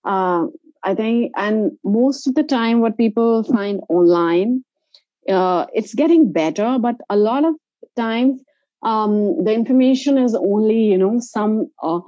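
A woman with an Indian accent answering casually as an interview guest, halting with frequent hesitations. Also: a little high, warm tone, no echo, dull audio. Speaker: interview guest, travel company founder.

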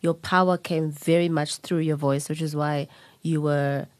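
A woman's voice: low-pitched, fairly even intonation, measured pace, dry recording. A South African woman hosting an interview, asking probing questions in a conversational way. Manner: warm, probing questions, mixes in Xhosa phrases. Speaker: podcast host.

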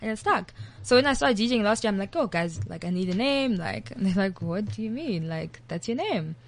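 Young South African woman, animated guest, loose chatty storytelling. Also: medium pitch, brisk. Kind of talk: casual interview chat.

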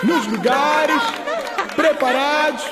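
Very high-pitched excitable sports announcer. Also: male voice, slow, heavy background noise. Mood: excited. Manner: projected, excitable. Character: excitable male sports announcer at school gala. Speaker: commentator. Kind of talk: sports commentary in radio drama. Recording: echoey venue, crowd and music behind.

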